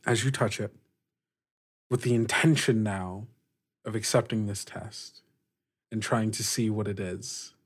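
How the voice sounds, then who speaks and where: A man narrating a tabletop roleplaying game as game master, in a halting, deliberate way with pauses mid-phrase. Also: lowish voice, fairly even intonation, slightly rough.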